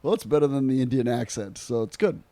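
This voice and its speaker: A man's voice: mid-range voice, lively intonation, quick pace, warm tone, dry recording. A man co-hosting a podcast in casual chat, with a joking, bantering manner. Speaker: podcast co-host.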